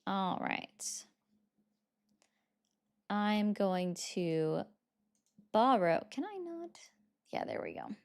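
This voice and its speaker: Woman chatting on her livestream, smooth clear voice, reading off viewer gifts. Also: medium pitch, sing-song, drawn-out words, pauses often. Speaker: political livestream host. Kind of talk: casual livestream commentary.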